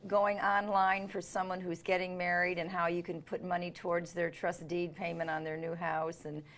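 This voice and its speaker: A woman's voice: fairly low pitch, level tone, moderate pace, fairly bright tone. A woman who is an etiquette expert, a guest on a talk show in a studio, giving emphatic, opinionated advice. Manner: emphatic, opinionated, laughs easily. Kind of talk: casual studio chat.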